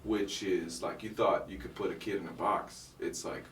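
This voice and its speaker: A man's voice: sits low, steady tone, normal speed, distant mic, slight background noise. A young man from Miami, a comedian guest, chatting casually in an interview and telling stories with dry, self-deprecating humor. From Miami, United States. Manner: laid-back storytelling with dry self-deprecating humor and frequent laughs. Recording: echoey room, voice from off-mic.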